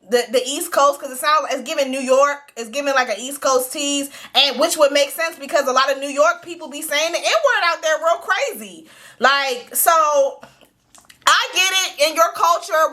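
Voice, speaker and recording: A woman hosting her own show, giving blunt, animated, profanity-laced commentary on a reality series. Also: sits high.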